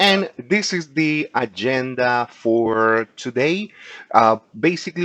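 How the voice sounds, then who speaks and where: A man's voice: medium pitch, a bit bright, no room sound. A man from Peru with a Peruvian Spanish accent, Spanish his first language, giving a chatty recorded lesson and often ending sentences with "okay?". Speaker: insurance agent teaching a class. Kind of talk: casual explanatory video lesson.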